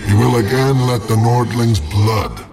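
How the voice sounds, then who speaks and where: An actor playing the stern, proud ghost of an army standard bearer in a video game, speaking in a formal, archaic, declamatory way. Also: male voice, mid-range voice, a little slow, lightly acted. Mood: testing, grave. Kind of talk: dramatic video game acting. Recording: game music under the voice.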